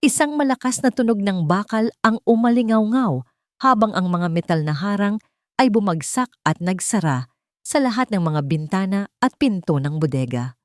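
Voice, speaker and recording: A woman's voice: mid-range voice, animated, moderate pace, no echo, studio quality. A woman reading a dramatic scripted audio story as its narrator.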